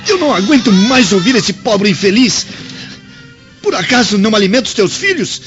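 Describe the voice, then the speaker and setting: A man's voice: high-pitched, big pitch swings, moderate pace, lo-fi sound, over music. An actor playing a spoiled, injured young man in a radio drama, tearful with pain, whining and imperious. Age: young adult.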